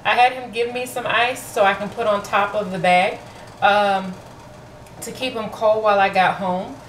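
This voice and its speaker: A woman's voice: mid-range voice, fairly even intonation, moderate pace, poor audio. Woman, casual cooking vlog, home kitchen, camera mic, chatty with fillers, slight background noise. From Florida. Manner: chatty, self-deprecating, many fillers. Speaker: vlogger.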